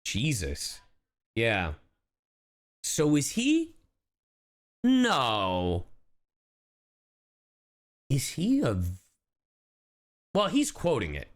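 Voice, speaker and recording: Excitable male streamer, wildly swooping pitch. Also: takes it slowly, pauses often. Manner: excitable, sweary. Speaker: streamer reacting to game voice lines. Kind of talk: casual live reaction commentary.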